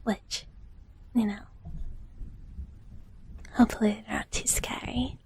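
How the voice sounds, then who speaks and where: A woman voicing a sweet, gentle dragon big sister in live ASMR, whispering softly and haltingly and often repeating her phrases. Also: on the high side, little pitch movement, full character voice. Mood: sweet, chatty. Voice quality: soft, breathy whisper. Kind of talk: whispered live ASMR roleplay, chatting with viewers.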